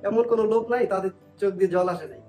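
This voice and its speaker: A young man with a Bangladeshi accent giving a personal account, halting as he searches for words. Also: sits high, dark tone, faint music behind. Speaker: guest.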